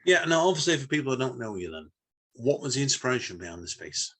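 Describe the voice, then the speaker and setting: A man's voice: medium pitch, big pitch swings, fast, a bit bright. A man with a Manchester-area Northern English accent, chatting as a podcast co-host in a rambling way full of tag questions. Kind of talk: casual podcast chat.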